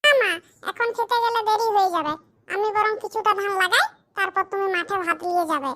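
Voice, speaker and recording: Very high, bright cartoon village son. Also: brisk. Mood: agreeable. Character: dutiful young village son, earnest and caring cartoon character. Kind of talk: comedy cartoon dialogue.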